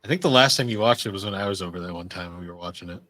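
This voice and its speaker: A man's voice: low voice, rapid-fire, fairly bright tone, no echo. A man co-hosting a podcast, chatting casually and informally in loose, rambling remarks. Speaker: podcast co-host. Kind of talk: casual chat about anime and games.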